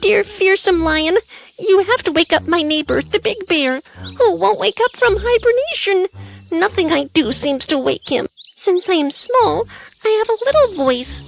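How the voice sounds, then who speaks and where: An actor voicing a tiny, timid yet brave mouse in a children's animated story, earnest, hesitant and deferential. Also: very high voice, normal speed, gravelly, soft background music, heavily acted. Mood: pleading.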